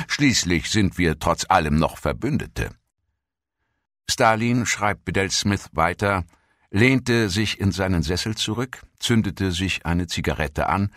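A male narrator with a notably low, deep voice reads a documentary script. His delivery is measured and formal, like a serious storyteller. The sound is dry, with hardly any room around it. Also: a bit bright, dry recording.

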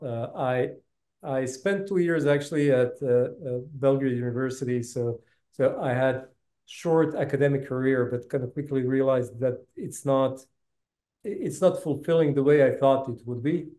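A man in his fifties from Bosnia speaks with a Balkan accent and a soft, dark tone. He talks casually as a guest, often doubling back on his words, over a video call with noticeable room echo. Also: mid-range voice, a little slow. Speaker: interview guest, tech business executive. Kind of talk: casual interview talk, storytelling. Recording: roomy, reverberant video call audio.

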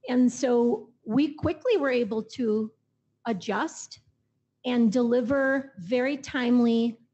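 A woman speaking as a panelist in a discussion, answering in measured, thoughtful sentences from an auditorium stage. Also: a little high, mellow, breathy edge, highs cut off. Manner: measured, thoughtful, builds long lists. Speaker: panelist, association executive.

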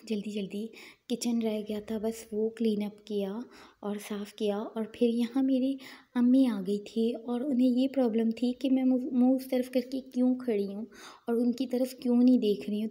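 A young woman narrating her day in a chatty, unhurried voice-over, with small fillers and pious asides. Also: fairly high pitch, dark tone. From Pakistan. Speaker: vlogger.

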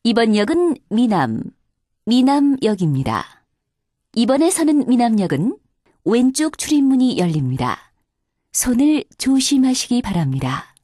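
A woman's voice: fairly high pitch, very animated, measured pace, dark tone, no room sound, clean studio sound. A woman reading formal scripted subway announcements in a polite, carefully enunciated way.

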